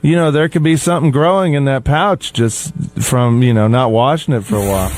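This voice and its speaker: A man's voice: medium pitch, fairly quick, darkish tone, dry recording. A man chatting casually on a radio show, easygoing and matter-of-fact.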